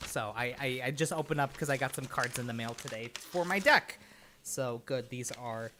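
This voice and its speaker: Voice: medium pitch, normal speed, bright, forceful tone, slight background noise. A livestream host chatting casually and rambling from thought to thought, with an easy habit of laughing and swearing.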